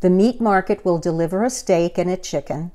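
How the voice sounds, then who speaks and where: A woman in her sixties reading a picture book aloud to children in a deliberate, expressive way. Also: lowish voice. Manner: deliberate, expressive delivery for young listeners. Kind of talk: animated children's story reading. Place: living room, indoors.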